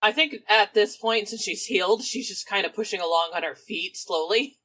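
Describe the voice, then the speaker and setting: A woman's voice: medium pitch, animated, normal speed, fairly bright tone. A woman playing a tabletop roleplaying game, casually improvising and describing what her character does.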